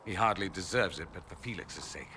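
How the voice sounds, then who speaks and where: An actor playing an urbane, sardonic foreign mage in a video game, with dry, theatrical wit. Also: male voice, low voice, a little fast, bright, forceful tone, a little hiss or hum. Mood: somber, regretful. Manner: witty, dry, theatrical sarcasm. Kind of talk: video game dialogue acting.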